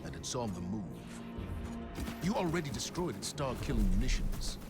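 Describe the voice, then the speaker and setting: An actor playing a solemn, dutiful military commander in a video game, speaking in measured, terse phrases. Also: male voice, mid-range voice, big pitch swings, loud music behind the voice. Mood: grave, resolute. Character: grave, dutiful male military commander, wary and resolute. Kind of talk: cinematic video game acting.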